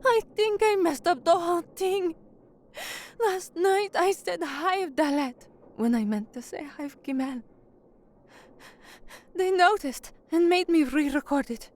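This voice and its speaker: A woman's voice: very high-pitched, unhurried, darkish tone. An actress playing an anxious young working-class woman and trainee newsreader in an audio drama. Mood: fretful. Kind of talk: audio drama acting with first-person narration.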